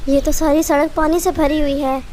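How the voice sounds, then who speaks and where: A woman's voice: high voice, steady tone, moderate pace, a little mellow, a little hiss or hum, close to an everyday voice. A woman voicing an anxious young bird wife and mother in a cartoon, with a worried, pleading delivery. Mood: alarmed.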